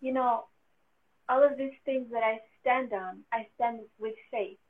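A woman's voice: on the high side, measured pace. French-accented woman on a tinny line. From France. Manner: laughs often, hopeful and faith-filled. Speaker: guest. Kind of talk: casual conversation.

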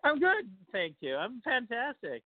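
A man's voice: very high-pitched, wildly swooping pitch, unhurried, no room sound. Older man hosting over a tinny line. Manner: rambling, hesitant with many fillers. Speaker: podcast host. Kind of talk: casual podcast talk.

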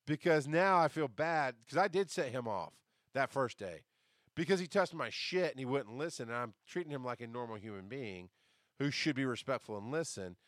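A man hosting a podcast, telling a story in casual, profane, animated fashion and acting out what people said, with a deep, bass-heavy voice. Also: no room sound, studio quality. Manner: animated, profane, acts out quoted lines. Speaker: podcast host.

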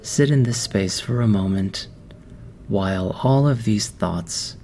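Male meditation guide, very slow, soothing, low voice, music underneath. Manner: slow, soft, soothing delivery with long pauses.

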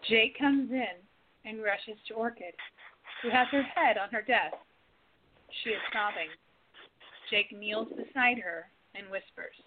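Slow-reading woman narrator, tinny audio. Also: fairly high pitch. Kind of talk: reading scene directions. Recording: tinny phone-like audio, faint noise.

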